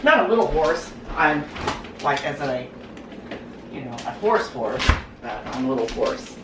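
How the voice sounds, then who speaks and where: Hoarse, low-voiced woman. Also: very animated, normal speed. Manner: rambling, chatty, folksy with many ums. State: hoarse from a cold. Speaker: cooking vlogger. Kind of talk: casual chat to camera. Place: home kitchen. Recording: noisy home recording.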